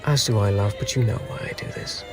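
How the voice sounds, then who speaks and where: Man playing a hushed, tender shapeshifting assassin. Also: on the low side, wildly swooping pitch, a little fast, music in the background. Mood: tender, wistful. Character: lovestruck shapeshifting assassin in a man's guise.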